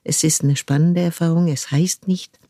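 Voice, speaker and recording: An older woman speaks in a low, mellow tone, weighing each phrase and stopping now and then to hunt for a word. The sound is close and dry, with no room around it. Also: fairly even intonation, no echo. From France. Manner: thoughtful, searching for words with frequent pauses. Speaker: guest, cultural manager. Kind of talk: reflective conversational interview.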